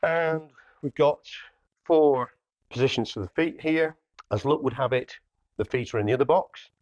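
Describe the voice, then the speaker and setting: A man's voice: medium pitch, moderate pace, a little mellow. Slightly muffled man presenting an unboxing. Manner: plain-spoken, explains each step as he works. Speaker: hi-fi dealer presenting a product. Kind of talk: casual unboxing demonstration. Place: indoor hi-fi showroom.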